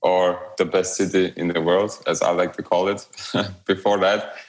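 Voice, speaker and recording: A young man from Romania speaks in a very low voice. He talks as an interview guest, relaxed and halting, with fillers and small restarts. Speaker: guest, blockchain company growth lead. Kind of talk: remote interview conversation.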